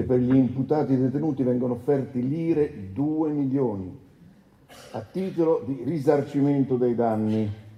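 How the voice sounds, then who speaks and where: A formal defence lawyer acted by a man addresses the judge deliberately. The voice is very dark and mellow, echoing like a courtroom drama in a bare room. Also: medium pitch, lightly acted. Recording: echoey room.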